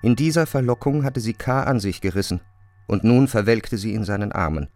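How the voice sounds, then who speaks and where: A man's voice: on the low side, brisk, a little mellow, no room sound, faint music behind. A man narrating a literary radio drama in scripted prose.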